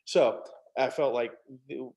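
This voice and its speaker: A man's voice: mid-range voice, a little slow, gravelly, darkish tone. A man speaking casually as a guest author, telling anecdotes and often repeating words as he starts.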